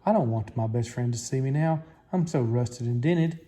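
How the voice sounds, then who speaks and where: Man acting rusty male farm machine, bashful, downhearted, mellow, children's storybook. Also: mid-range voice, normal speed, close to an everyday voice. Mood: ashamed.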